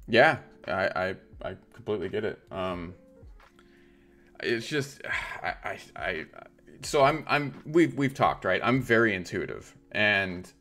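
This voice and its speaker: A man hosting a live stream chats with a guest in a rambling way. His voice sits fairly low, and he fills his talk with "like" and "right?". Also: expressive, a little slow, pushed and bright, faint music behind. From Los Angeles area. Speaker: stream host. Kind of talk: casual interview chat.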